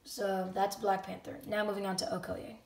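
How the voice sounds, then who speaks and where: A channel host talking casually and unscripted to viewers at home, a bit halting and often self-correcting. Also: mid-range voice, monotone, creaky, a little mellow. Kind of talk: casual unscripted talk to camera audience. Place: indoor room at home. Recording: roomy, distant microphone.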